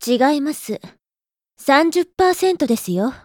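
Audio drama little sister, acted by a woman: high-pitched, formal and exasperated, a lecturing know-it-all, recorded close and dry. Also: darkish tone, no room sound, heavily acted. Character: prim, scolding know-it-all little sister of hapless brother. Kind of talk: Japanese audio drama acting.

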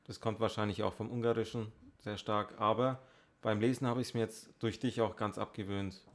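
A young man with a somewhat low voice co-hosts a podcast. He chats casually and in a laid-back way, often keeping his remarks short. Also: fairly even intonation, moderate pace. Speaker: podcast co-host. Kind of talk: casual chat.

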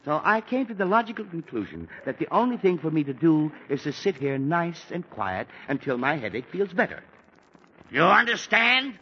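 Annoyed 1940s radio dad, raised and lively voice, muffled hissy recording. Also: male voice, fairly high pitch, moderate pace. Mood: exasperated. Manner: blustering, fussy and easily annoyed. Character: exasperated middle-aged father with a headache. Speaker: father of a teenage son.

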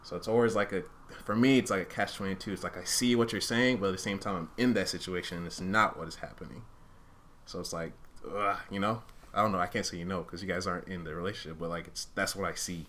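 A man's voice: deep voice, steady tone, quick pace, fairly bright tone, slight background noise. A man speaking casually and candidly as a podcast co-host, thinking aloud and often hedging as he reasons through his points. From Uganda-born, raised in Rwandan culture.

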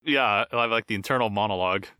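A man with a low but bright, forward voice chats casually on a voice-acting podcast. He pokes fun at his own worries, then urges listeners never to get discouraged. The sound is dry and close. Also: fast, clean tone, no echo. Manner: self-deprecating, upbeat. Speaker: podcast co-host, voice actor. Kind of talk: casual chat.

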